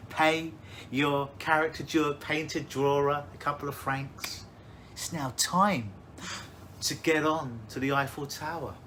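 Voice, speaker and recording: Guy doing a hypnosis session, slow n soothing, kinda high voice, faint hiss behind. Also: fairly bright tone. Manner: slow soothing suggestive delivery with drawn-out phrases. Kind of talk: guided hypnosis visualization.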